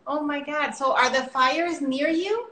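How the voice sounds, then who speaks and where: Bubbly high-voiced woman host, echoey room. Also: a little slow, bright, forceful tone. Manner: upbeat, effusive, many fillers. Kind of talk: casual online interview. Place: San Diego.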